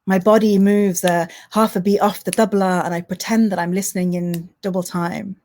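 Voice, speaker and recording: A woman poet, sitting at a mid pitch. Between poems she talks to her online audience in a halting way, full of "um"s. When she reads, her delivery turns rhythmic and impassioned. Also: fairly even intonation, a little mellow. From Manchester, England. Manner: hesitant, filler-heavy chat between poems. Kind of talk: spoken word poetry reading with chat to an online audience.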